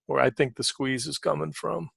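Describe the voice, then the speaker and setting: Male trading coach, slightly high-pitched with a creaky edge, talking informally and often clearing his throat. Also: fairly even intonation, normal speed, fairly bright tone, no echo, clean studio sound. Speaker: trading coach giving market commentary. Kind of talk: informal live market commentary.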